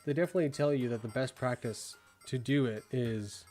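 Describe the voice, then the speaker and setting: A man's voice: mid-range voice, fairly quick, a little mellow, faint music behind. A young man with a Midwestern accent, chatting casually on a stream and rambling with lots of fillers. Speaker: streamer reviewing viewer work. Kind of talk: casual live-stream chat.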